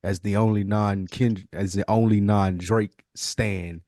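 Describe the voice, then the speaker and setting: Low-voiced man hosting a casual podcast. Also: steady tone, unhurried, mellow, no room sound, studio quality. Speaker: podcast host.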